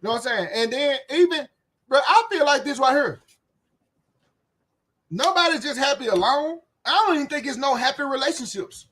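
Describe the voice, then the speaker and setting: A man's voice: extremely high pitch, animated, normal speed, pushed and bright. A man in his late thirties with a Memphis accent, ranting bluntly and profanely in a casual, repetitive way outdoors. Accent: Southern US, Memphis. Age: 30s. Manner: blunt, profane, repetitive, frequent "you know what I'm saying". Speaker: YouTube commentator. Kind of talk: casual rant. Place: outdoors, windy.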